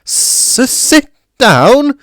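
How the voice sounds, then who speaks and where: A man voicing a small, servile and tearful male house elf who talks about himself by name in a high, excitable way. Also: a little slow, dry recording, studio quality. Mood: earnest, wailing.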